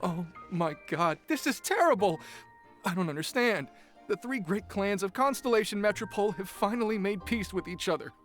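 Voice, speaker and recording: A woman's voice: mid-range voice, lively intonation, moderate pace, soft background music, natural voice. A woman playing a distraught, dismayed townsperson in a video game.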